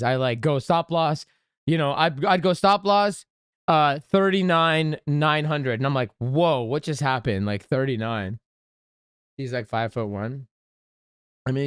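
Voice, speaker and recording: A man's voice: mid-range voice, clean tone, no echo, studio quality. A young man hosting a casual crypto trading livestream, joking with chat and rambling, his voice a little worn. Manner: joking, rambling, breaks into singing. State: slightly lost voice. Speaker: livestream host, crypto trader.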